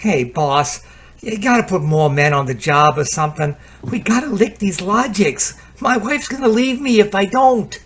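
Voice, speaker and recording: A man's voice: high-pitched, expressive, moderate pace. A man playing a flustered, henpecked repairman in an old-time radio drama, stammering and pleading theatrically.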